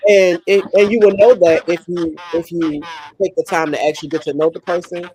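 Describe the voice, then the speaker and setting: A woman's voice: on the low side, fairly even intonation, a little fast, dark tone, low-quality recording. A woman in her forties, co-hosting a podcast, talking frankly and emphatically and repeating herself to make a point. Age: 40s. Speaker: podcast co-host. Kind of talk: casual chat.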